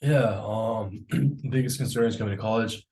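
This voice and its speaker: American college guy in his 20s, lowish voice, casually answering interview questions. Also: a little slow, a little mellow. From Jacksonville, United States.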